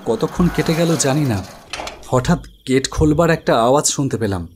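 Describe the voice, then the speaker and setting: An actor playing an anxious idol-maker who recounts a horror story in a dramatic, expressive first-person narration. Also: male voice, mid-range voice, moderate pace, noisy background, lightly acted. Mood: uneasy, brooding. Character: anxious male idol-maker recounting his ghostly encounter. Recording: studio voice over music bed.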